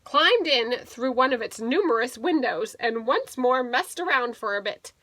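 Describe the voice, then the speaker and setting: A woman with a high, bright voice reads a children's picture book aloud as a teacher would. Her delivery swings expressively up and down, and she drops in explanatory asides for young listeners. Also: expressive, moderate pace, dry recording. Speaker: teacher reading to a young class.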